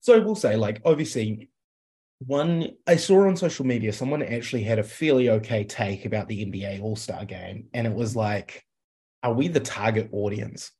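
A man's voice: on the low side, moderate pace, dark tone. A man with a New Zealand accent in casual sports chat, speaking as a podcast panelist.

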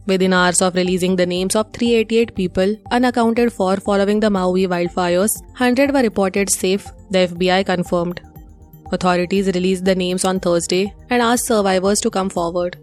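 A woman's voice: mid-range voice, a little mellow. A woman with an Indian accent reading scripted news bulletins as a radio presenter.

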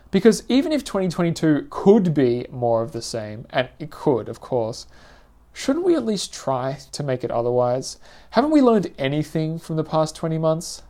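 A man reads his own personal essay aloud in a reflective, literary and measured manner. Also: medium pitch, mellow. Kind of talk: scripted personal essay narration.

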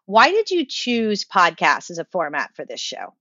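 A woman's voice: on the low side, huge pitch swings, normal speed, sharp tone, slightly rough, no echo, lo-fi sound. A woman hosting a podcast, reading introductions and putting questions to a guest. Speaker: podcast host and interviewer. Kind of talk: scripted intro and interview.

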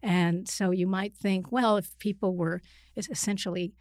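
A woman speaking as an expert guest in a conversation, explaining in a measured, analytical way with hesitant self-corrections. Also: medium pitch, steady tone, a little mellow, dry recording, clean studio sound. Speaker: expert guest, psychiatrist and author.

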